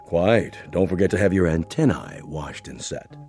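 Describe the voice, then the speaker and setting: A deep-voiced pompous alien escort. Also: male voice, expressive, normal speed, warm tone, faint music behind. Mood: genteel. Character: pompous alien royal escort, male, smug and genteel.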